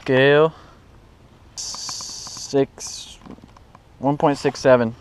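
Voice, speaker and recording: A man's voice: medium pitch, fairly even intonation, drawn-out words. A young man chatting casually and informally as he vlogs about fishing, recorded outdoors on an action camera. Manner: informal, slangy. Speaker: fishing vlogger. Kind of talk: casual first-person vlog chat. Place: outdoors, at a car trunk and on a small pond boat. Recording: clearly noisy outdoor action-camera audio.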